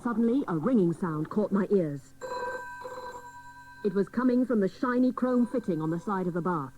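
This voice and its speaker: A woman playing a dotty private detective, narrating in deadpan, mock hard-boiled style for comic radio drama. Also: medium pitch, normal speed, a little hiss or hum, close to an everyday voice, rough recording.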